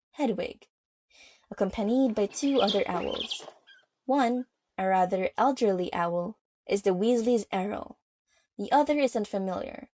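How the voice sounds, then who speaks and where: A woman's voice: medium pitch, mellow, slight background noise. A woman reading scripted narration for an audio drama as its storyteller. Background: music.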